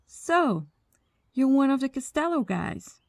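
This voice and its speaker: A female mafia boss, played by a voice actress, speaks slowly and deliberately, close and dry. She is commanding and condescending, with a mocking, quiet menace suited to an ASMR crime roleplay. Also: high voice, sing-song, creaky, no room sound, heavily acted. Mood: mocking, menacing. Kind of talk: ASMR roleplay audio drama.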